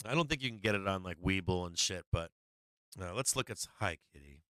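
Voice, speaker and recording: A man's voice: deep voice, lively intonation, a little fast, bright, forceful tone, no echo, clean studio sound. A man hosting a stock market livestream, talking casually and profanely through charts with many fillers while he is losing his voice.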